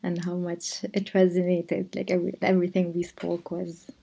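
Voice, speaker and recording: A woman from Lebanon talks as a podcast guest in a soft, husky, slightly gravelly voice with a mellow tone. She is hesitant and reflective, often pausing and repeating words as she gathers her thoughts. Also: fairly low pitch, monotone. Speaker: guest, charity founder. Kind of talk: podcast conversation.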